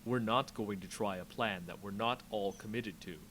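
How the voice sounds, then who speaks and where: A man's voice: on the low side, normal speed, a bit bright, slight background noise, close to an everyday voice. Man playing a firm, commanding warrior leader. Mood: concerned, firm. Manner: firm, urgent.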